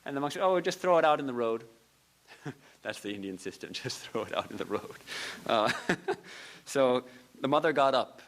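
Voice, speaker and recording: A man, a monk of a religious order, giving a lecture in a temple, telling stories with soft laughs and earnest, repeated phrases. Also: mid-range voice, animated, moderate pace, pauses often. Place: temple hall.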